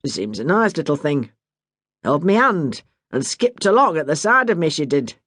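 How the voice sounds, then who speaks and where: A woman's voice: low-pitched, very animated, moderate pace, fairly bright tone, no echo, studio quality, exaggerated voice. A woman voicing a good-natured young man, a would-be sailor, talking in a teasing, easygoing way. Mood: hesitant.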